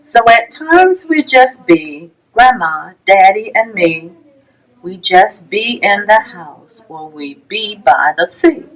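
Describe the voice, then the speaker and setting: A woman reading a rhyming children's picture book aloud, with a measured sing-song delivery and pauses between phrases. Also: on the low side, a bit bright, rough recording. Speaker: library storytime reader.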